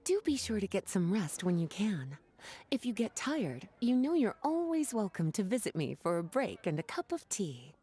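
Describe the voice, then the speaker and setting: A woman voicing a gentle, caring and polite young woman in a video game, in a soft, breathy tone. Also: medium pitch, sing-song, normal speed. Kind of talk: English video game voice acting.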